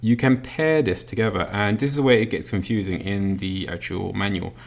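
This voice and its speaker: Low gravelly man demonstrating a gadget. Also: moderate pace. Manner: casual and explanatory, many ums and uhs; demonstrating a gadget while talking. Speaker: product reviewer. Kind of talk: unboxing walkthrough.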